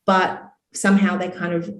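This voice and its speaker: A woman's voice: fairly low pitch, level tone, normal speed, a bit bright, a little hiss or hum. A woman in her thirties with an Australian accent, chatting as a podcast guest in an upbeat way, full of "you know" and "like". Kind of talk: casual interview storytelling. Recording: roomy echo.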